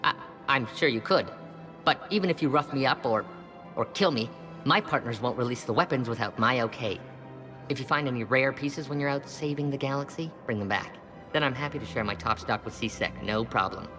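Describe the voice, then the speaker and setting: A man's voice: mid-range voice, brisk, close to an everyday voice. Man acting a shifty arms dealer in an echoing hall. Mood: cagey, bargaining. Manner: slangy, evasive, salesman-like. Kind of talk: naturalistic game acting. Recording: long hall reverb, loud music behind.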